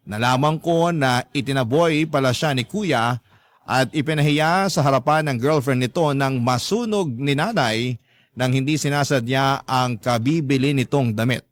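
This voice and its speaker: A man's voice: mid-range voice, normal speed, no echo, lightly acted. Drama narrator male actor, young Filipino letter sender, mid-range voice, earnest and respectful, close dry recording. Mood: reflective, sincere. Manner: polite, sincere. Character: earnest, forgiving young Filipino letter sender telling his family story. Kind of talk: dramatized first-person narration.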